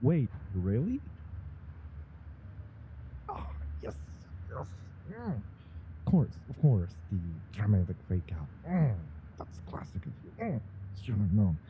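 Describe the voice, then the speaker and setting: A man's voice: on the low side, wildly swooping pitch, slow pace, long pauses, mellow, slight background noise, voice pushed up, exaggerated voice, low-quality recording. A man voicing an eager, nervous young rookie villain who stammers and hesitates, in an audio roleplay. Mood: eager, excited. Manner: hesitant, stammering, many fillers. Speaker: listener roleplay actor.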